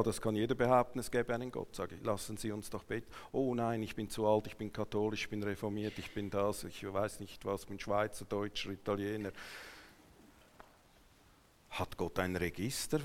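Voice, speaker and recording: A man with a Swiss German accent preaching a sermon to a congregation, emphatic and swinging between hushed asides and raised declarations. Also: on the low side. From Switzerland. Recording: long hall reverberation.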